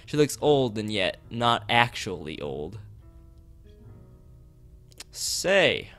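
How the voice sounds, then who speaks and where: A man's voice: lowish voice, very animated, a little slow, a bit bright. A man live streaming a visual novel, reading its text aloud and drifting into rambling asides to the chat. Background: background game music.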